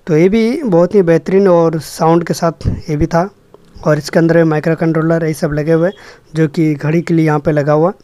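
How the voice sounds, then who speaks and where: A man's voice: on the high side, fairly even intonation, normal speed, mellow, dry recording. Young man, dry sound. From Jharkhand, India. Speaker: electronics repair vlogger. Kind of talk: casual hands-on demonstration narration.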